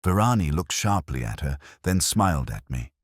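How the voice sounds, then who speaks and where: A man reading a first-person detective story as scripted narration, in a measured, literary storytelling manner. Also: extremely low pitch, sing-song, dry recording, studio quality.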